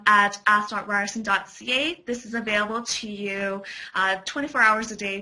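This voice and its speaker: A woman's voice: fairly high pitch, pushed and bright, poor audio. A woman presenting an informational webcast, friendly with frequent ums. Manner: friendly, filler-heavy.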